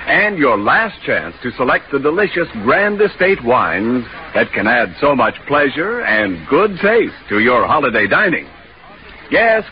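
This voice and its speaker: Animated American man announcing on old radio. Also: a little high, slight background noise. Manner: polished, deliberate salesmanship. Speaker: radio announcer, sponsor spokesman. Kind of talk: 1940s radio advertising read. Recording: dull 1940s radio recording, music behind.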